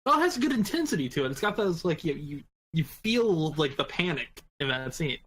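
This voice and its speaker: A man chatting casually as a podcast panelist, halting and repeating himself, with casual swearing. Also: a little high, very animated, fairly bright tone, a little hiss or hum. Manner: halting, repetitive, casually profane. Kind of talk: casual chat.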